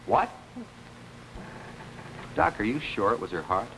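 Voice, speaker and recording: A man acting as a courteous, questioning detective in a 1950s television mystery, with small hesitant pauses. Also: lowish voice, very animated, vocal fry, exaggerated voice. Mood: puzzled, probing. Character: Ellery Queen, probing, courteous male detective. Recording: noisy old 1950s television soundtrack.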